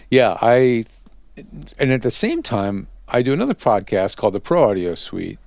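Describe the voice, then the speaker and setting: A man's voice: fairly low pitch, vocal fry, darkish tone, no room sound. A man hosting a podcast interview, chatting casually in a conversational, self-deprecating way. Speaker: podcast host interviewing a guest.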